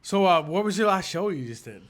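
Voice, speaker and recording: Podcast host dude, kinda high voice, swears a lot, super loose and jokey. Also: male voice, lively intonation, a little fast. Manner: loose, profane, joking. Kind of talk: casual podcast chat.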